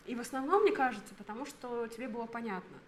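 A woman's voice: fairly high pitch, fast, slight background noise. A woman of thirty co-hosting a podcast, explaining her thoughts at length in casual chat. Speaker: podcast co-host.

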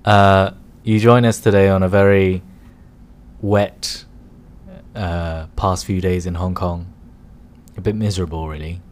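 Man, podcast co-host, easy animated delivery, quick to laugh and tease. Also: low voice, slight background noise. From United Kingdom. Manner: animated, laughs often, teasing, many fillers. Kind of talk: casual chat.

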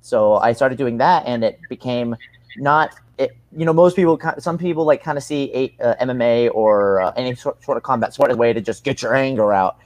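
A man's voice: mid-range voice, brisk, a little mellow, no echo, rough recording. A young man giving casual interview answers as a combat sports athlete, earnest and rambling, often rephrasing himself.

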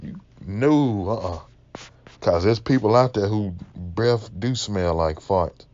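A young man with a Mississippi accent, hosting a casual solo Q&A, talking slowly in a laid-back, mumbling way. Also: darkish tone, lo-fi sound. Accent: Mississippi Southern US. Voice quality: deep voice. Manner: slow, laid-back, mumbling, laughs often. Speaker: podcast host.

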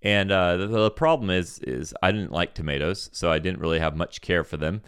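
A man's voice: low-pitched, moderate pace, a bit bright, clean tone, no echo, clean studio sound. A man who grew up in Senegal, giving an earnest sermon-style Bible teaching that repeats phrases and rises for emphasis.

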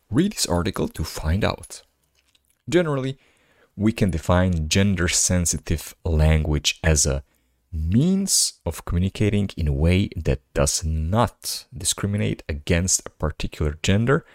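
A man's voice: deep voice, darkish tone, no echo, clean studio sound. A man whose first language is Slovak, hosting a solo podcast where he reads articles aloud and adds casual, musing asides. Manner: casual, musing asides between read passages. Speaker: podcast host. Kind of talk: reading articles aloud with commentary.

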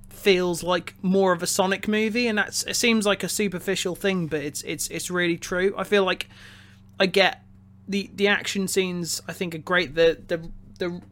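A man co-hosting a podcast, talking casually about films in a halting way, often repeating words as he goes. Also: high voice, fairly bright tone. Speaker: podcast co-host reviewing a film. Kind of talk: casual opinionated discussion.

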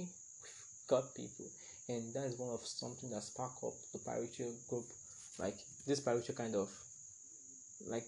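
A man's voice: mid-range voice, creaky, a little mellow, poor audio. A young man hosting a casual solo podcast, chatty and rambling, laughing at his own remarks. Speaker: podcast host.

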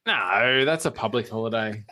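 An Australian man co-hosting a podcast, chatting casually with dry jokes and a halting delivery. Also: on the low side, sharp tone. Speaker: podcast co-host. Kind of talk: casual chat.

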